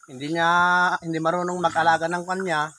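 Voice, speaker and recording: A chatty young man talks viewers through his backyard farm and keeps calling them bosses. His voice sits fairly high for a man. It is recorded on a phone outdoors, with roosters crowing and chickens clucking clearly in the background. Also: little pitch movement, fairly quick, a bit bright, slight background noise. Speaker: farm vlogger. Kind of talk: casual tour narration to viewers.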